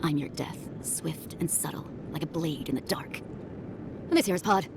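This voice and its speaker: A woman's voice: low-pitched, normal speed, background noise. A woman voicing a theatrical female bandit boss in a video game, taunting and grandiose as she threatens. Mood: menacing.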